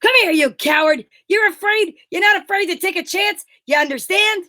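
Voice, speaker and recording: A woman's voice: very high voice, normal speed, sharp tone. A woman playing a bossy, cajoling male straight man in an old-time radio comedy sketch. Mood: bossy, goading. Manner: commanding, cajoling patter. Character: bossy, wheedling straight man Abbott, adult male comic.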